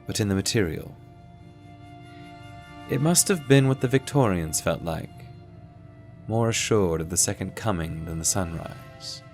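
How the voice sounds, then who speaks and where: Man acting earnest, shaken sleuth, lowish dry voice. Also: no echo. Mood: shaken, reflective. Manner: literary, measured, deliberate phrasing. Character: earnest, shaken male sleuth narrating his adventure. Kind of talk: scripted first-person audio drama narration.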